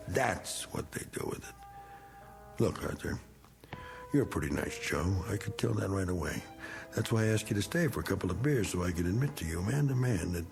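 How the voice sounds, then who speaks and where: Gravelly, boozy middle-aged veteran. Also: male voice, deep voice. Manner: rambling, folksy, slangy. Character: boozy, self-pitying, bigoted middle-aged American war veteran. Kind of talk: 1960s television drama acting.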